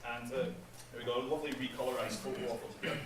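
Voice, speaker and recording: A man giving a chatty conference talk about his game, laughing at his own jokes and filling pauses with ums. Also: mid-range voice, fairly even intonation. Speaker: game developer presenting at an industry event. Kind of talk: casual conference presentation. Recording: echoey hall, speaker far from mic, faint noise.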